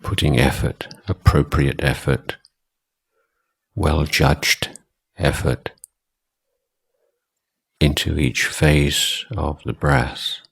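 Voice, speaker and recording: An extremely low-pitched man leads a guided meditation in a husky voice. He speaks slowly and deliberately, leaving long pauses between short phrases as he instructs. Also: fairly even intonation, fairly bright tone. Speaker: Buddhist monk, meditation teacher.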